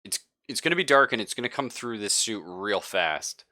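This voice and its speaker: A man's voice: fairly low pitch, animated, moderate pace, sharp tone. A man narrating a tabletop roleplaying game as game master, casual and joking as he improvises.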